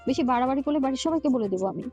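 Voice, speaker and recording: A woman acting a high-pitched, feisty young woman. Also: animated, racing, darkish tone, muffled recording, faint music behind. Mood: scolding, indignant. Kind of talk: dramatized romance audio story.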